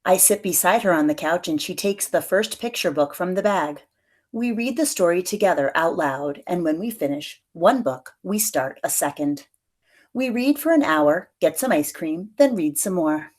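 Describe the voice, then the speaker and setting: Lower-pitched woman reading a picture book aloud. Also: normal speed. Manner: expressive storytelling, acts out dialogue lightly. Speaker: teacher reading aloud to pupils.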